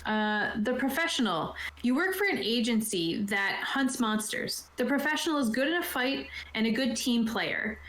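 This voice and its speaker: A woman's voice: on the high side, animated, moderate pace, few pauses, fairly bright tone. A woman casually explaining a tabletop game, partly reading from a rulebook, in an informal, conversational way. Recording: roomy, echoey sound.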